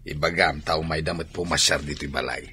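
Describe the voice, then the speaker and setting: Very deep-voiced elderly Ilocano family father. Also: fairly even intonation, a little fast, sharp tone, a little hiss or hum, heavily acted. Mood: plain-spoken. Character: elderly husband and father of grown children. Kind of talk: Ilocano radio drama acting. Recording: music behind the voice.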